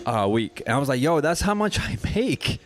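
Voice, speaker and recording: Man, thirties, laid-back storyteller, casual podcast chat, mid-pitched voice. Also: big pitch swings, normal speed, a little hiss or hum. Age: 30s. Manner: storytelling, laid-back, says "you know what I'm saying" often. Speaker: podcast guest, barber.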